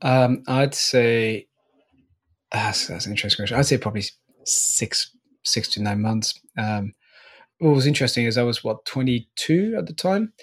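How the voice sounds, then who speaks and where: A man's voice: medium pitch, moderate pace, husky. Guy in his 40s, from france, kinda french-irish accent, laid back chat, stumbles and laughs a bit. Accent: mixed French and Northern Irish. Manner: relaxed, frequent restarts and small laughs. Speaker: guest. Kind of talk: casual interview chat.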